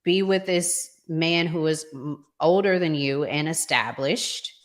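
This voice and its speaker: A woman hosting a relationship discussion in a conversational, deliberate way, pausing in the middle of her phrases. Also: deep voice. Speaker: podcast host.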